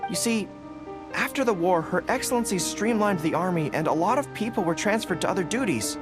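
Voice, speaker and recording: An actor playing a young, earnest and sincere general in a video game, gentle and loyal to his soldiers. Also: high-pitched, expressive, brisk, over music. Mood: earnest, warm.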